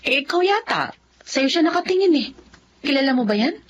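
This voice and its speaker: A woman's voice: high-pitched, very animated, fairly quick, highs cut off, a little hiss or hum. A woman acting a doting but possessive wife in a Filipino radio drama, conversational and firm, with a Filipino accent. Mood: affectionate.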